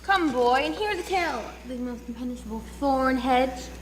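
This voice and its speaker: A woman's voice: high voice, animated, normal speed. A woman playing an earnest old man who tells grave legends in a readers theatre fairy tale. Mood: grave warning. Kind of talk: readers theatre stage reading. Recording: echoey noisy hall.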